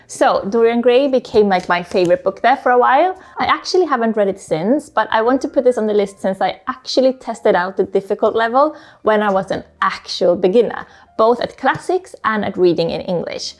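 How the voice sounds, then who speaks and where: A woman's voice: fairly high pitch, expressive, a little fast. A woman whose first language is Swedish, chatting casually and informally as she recommends books, often slipping in "like". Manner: chatty, informal, frequent "like" asides. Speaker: book YouTuber, host. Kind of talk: casual book recommendation talk to camera.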